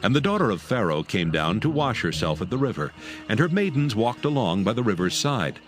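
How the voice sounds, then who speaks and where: A man's voice: fairly low pitch, sing-song, brisk. A man narrating scripture as storyteller in a dramatized audio production. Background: music.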